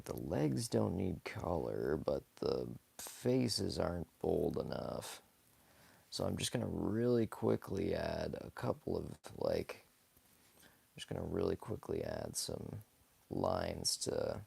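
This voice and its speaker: A hoarse young man talks casually while sketching live, rambling softly with many fillers and small laughs. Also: deep voice, very animated, takes it slowly. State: hoarse, scratchy from talking too much. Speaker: live-streaming sketch artist. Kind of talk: casual commentary while drawing.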